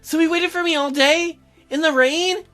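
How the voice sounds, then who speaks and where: An earnest teenage girl from a dating sim, played by a man, speaks in a bright, high voice. Her pitch swings widely as she questions and exclaims, with faint music behind her. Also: steady tone, moderate pace. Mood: puzzled, worried. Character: teenage girl heroine, earnest, curious, excitable. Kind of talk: dating sim dialogue read aloud.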